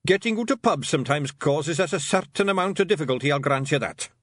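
Guy putting on a loud bossy scottish pixie boss, tiny fighter, pitched up high and bouncy, super dry sound. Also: lively intonation, fast, no echo. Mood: blustering. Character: boisterous tiny male pixie clan leader.

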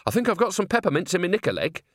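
Guy putting on a chirpy gossipy old witch lady, voice up high, bouncy. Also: sing-song, very fast, no room sound, clean studio sound. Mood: breezy. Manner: gossipy, breezy. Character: cheerful chatty old woman witch, earthy.

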